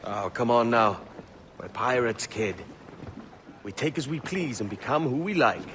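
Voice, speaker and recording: A cocky young pirate captain, played by a man, talks at a middling pitch with a swaggering, flippant edge. His speech is colloquial and money-minded, performed as video game drama over faint environmental noise. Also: measured pace, fairly bright tone, lightly acted. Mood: roguish, defiant.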